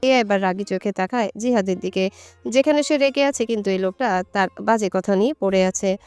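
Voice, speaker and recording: A woman's voice: mid-range voice, a little fast, a little mellow, smooth, faint music behind. A woman storyteller reading a scripted romantic story as narration.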